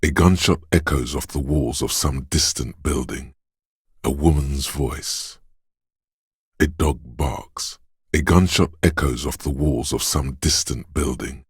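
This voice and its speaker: An actor voicing a watchful inner sense in a video game, narrating slowly and deliberately. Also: male voice, a bass voice, breathy edge, no room sound, studio quality, full character voice. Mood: observant. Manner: slow, measured, deliberate delivery.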